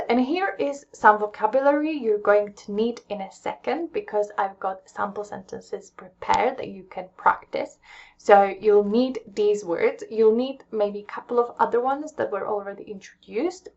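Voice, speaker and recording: A woman's voice: medium pitch, gravelly, a little mellow, dull audio. A young woman with an English accent, patiently teaching a language lesson at home and checking in with her viewers as she explains. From England.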